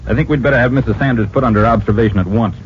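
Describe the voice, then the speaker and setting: An actor playing a practical, skeptical official in an old-time radio drama, brisk and authoritative. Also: male voice, mid-range voice, lightly acted. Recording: muffled old radio recording, faint hiss.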